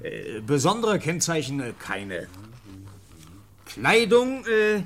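Brusque police officer, swinging intonation. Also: male voice, on the high side, big pitch swings, takes it slowly, exaggerated voice. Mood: officious, dictating. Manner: gruff, hesitating with "äh" while dictating. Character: brusque, officious 19th-century police officer leading an interrogation. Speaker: police captain in a radio play. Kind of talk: 1950 German radio drama acting. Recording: vintage 1950 radio play recording.

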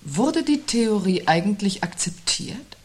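An actress playing a sceptical, uneasy woman in a literary radio play, with a husky voice and a dry, probing, measured delivery. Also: medium pitch, sing-song, a little hiss or hum. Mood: wry, pensive. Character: sceptical, wry, uneasy woman waiting out looming doom.

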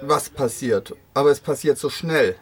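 A man's voice: mid-range voice, moderate pace. A man reading translated interview answers as a voice-over. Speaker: voice-over reader.

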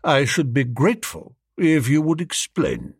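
A man acts an old English duke in a storybook reading. He is haughty and coldly polite, with formal, measured delivery and a close, dry sound. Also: on the high side, very animated, breathy edge, no echo, full character voice.

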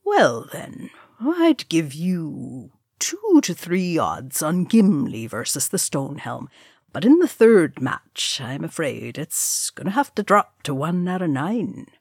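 A woman voicing a sly old male dwarf tavern keeper in a storybook reading, wily and folksy, with drawn-out phrasing. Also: low voice, big pitch swings, darkish tone, breathy edge, dry recording, studio quality, exaggerated voice. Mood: calculating.

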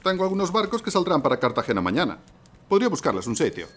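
A man's voice: on the high side, sing-song. A man playing a decisive, confident naval lieutenant. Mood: assured. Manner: brisk, assured.

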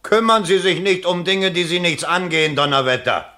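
An actor playing a pompous, agitated bourgeois election candidate in a theatrical German radio play, exclamatory and self-dramatizing. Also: male voice, high voice, moderate pace, bright, forceful tone. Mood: impatient.

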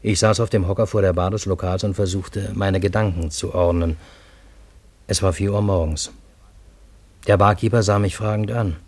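An actor as a wry first-person storyteller, narrating a radio drama in a measured, matter-of-fact way. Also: male voice, low voice, steady tone, a little mellow, dry recording. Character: wry male first-person storyteller recounting strange events.

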